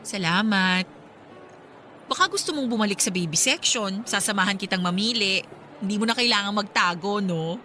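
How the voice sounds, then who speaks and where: A woman acting in a Filipino radio drama as a devout, hopeful friend, earnest and expressive. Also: medium pitch, moderate pace, a little hiss or hum. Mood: reassuring.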